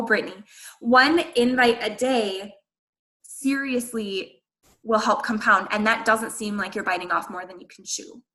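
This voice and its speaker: A woman with a bright, forceful voice speaks to her team in an earnest, candid way. She encourages her listeners, with everyday fillers running through her talk. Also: medium pitch, rough recording. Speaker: guest speaker at a team training. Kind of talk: motivational talk to a sales team.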